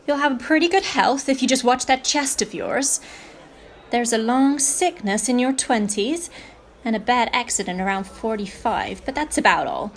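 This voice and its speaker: Woman playing a blunt, high-voiced fortune-teller. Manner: blunt, brusque, matter-of-fact. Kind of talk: radio play acting.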